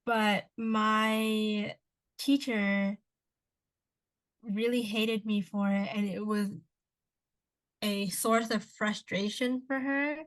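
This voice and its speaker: A woman's voice: medium pitch, fairly even intonation, very slow, pauses often. Young woman podcast host, cantonese first language, rambly chatty, keeps restarting. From Canada. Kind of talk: casual chat.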